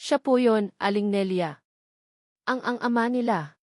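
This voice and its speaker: A woman's voice: mid-range voice, a little slow, a little mellow, no echo, lightly acted. A frightened, heartbroken young mother, as a woman narrator plays her in a romance audio story. She speaks hesitantly and with emotion, in a dry recording. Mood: tearful. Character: fearful, heartbroken young single mother, soft-spoken.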